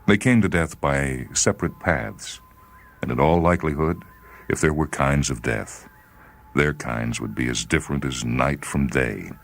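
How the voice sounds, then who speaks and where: A man reading a short story as dramatic literary narration, measured and solemn. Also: extremely low pitch, creaky.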